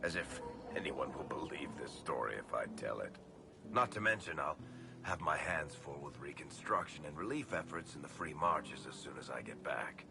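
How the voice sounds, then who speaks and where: An actor playing a wry, laid-back male adventurer and storyteller in a video game, conversational and gravelly. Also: very deep voice, animated, normal speed, background noise, heavily acted. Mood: wry, musing. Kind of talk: video game character dialogue. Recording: music under the voice.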